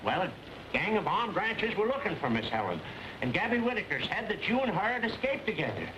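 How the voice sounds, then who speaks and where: Flustered, high-voiced western soldier. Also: male voice, big pitch swings, fairly quick. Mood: earnest. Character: flustered, hesitant western soldier reporting to his colonel. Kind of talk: old western film acting. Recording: noisy old film soundtrack.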